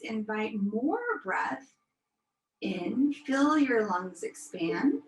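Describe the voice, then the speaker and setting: A woman teaching yoga, leading a guided meditation in a soothing, unhurried, gently instructive manner. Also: on the high side. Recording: roomy echo, music behind. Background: music.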